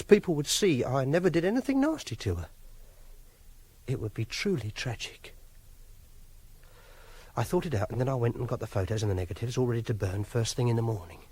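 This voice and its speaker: An actor playing a quiet, awkward, obsessive loner, speaking a halting, self-pitying confessional monologue in a radio drama. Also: male voice, mid-range voice, breathy edge, no room sound. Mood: flat, brooding. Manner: halting, plain-spoken, brooding. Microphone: close, dry studio microphone.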